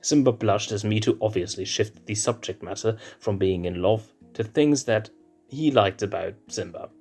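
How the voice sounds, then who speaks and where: A man reading a fan fiction story aloud in slow, measured narration. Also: fairly low pitch, darkish tone, faint music behind.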